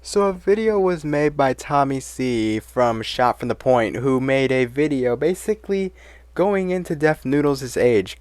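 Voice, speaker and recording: Smooth, dry-voiced male drama commentator. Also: medium pitch, dry recording. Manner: exasperated, swears casually, rambling asides. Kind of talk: casual commentary vlog.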